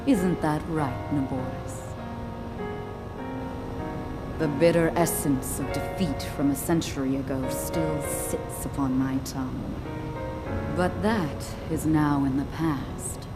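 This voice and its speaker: Proud desert warrior chief, low voice, takes her time, savors every word. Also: over loud music, low-quality recording. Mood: regal. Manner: regal, slow and relishing. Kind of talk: video game cutscene acting.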